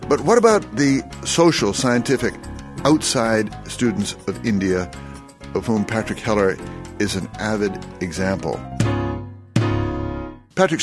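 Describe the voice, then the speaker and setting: A man hosting a radio interview, asking eloquent, wordy questions. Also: lowish voice. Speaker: radio host.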